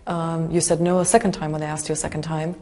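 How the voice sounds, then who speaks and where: Mellow, lowish-voiced woman interviewer. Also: little pitch movement, fast. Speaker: interviewer, journalist. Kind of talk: interview questioning. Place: indoor room.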